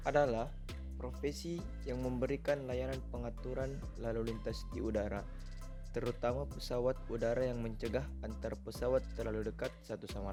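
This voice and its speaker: A man reading a scripted educational narration in a formal manner, as the narrator of an explainer video. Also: medium pitch. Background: music.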